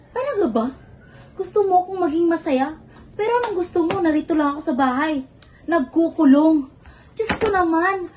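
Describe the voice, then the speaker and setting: A woman's voice: extremely high pitch, darkish tone. A Filipino woman playing a rebellious student, the younger sister in a stage drama, with a theatrical, exasperated, sarcastic delivery. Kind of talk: amateur one-act stage play acting in Filipino. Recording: faint background noise.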